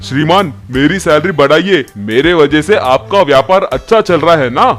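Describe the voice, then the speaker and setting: Cocky, higher-pitched male cook played by a man. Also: lively intonation, normal speed, a bit bright, faint music behind. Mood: eager. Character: cocky young male dhaba cook, self-important and opportunistic. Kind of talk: Hindi animated moral story dubbing.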